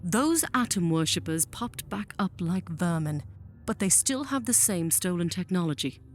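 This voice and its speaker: A woman's voice: fairly low pitch, expressive, normal speed, faint music behind, natural voice. A woman playing a cold, impatient military general in an audio drama, clipped, disdainful and commanding. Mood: contemptuous.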